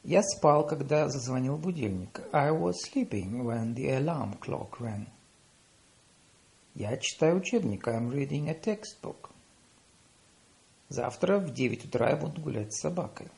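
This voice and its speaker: A man reading paired lesson phrases, saying the English slowly and deliberately after quicker Russian. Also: medium pitch, expressive, gravelly, a little mellow. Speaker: language teacher.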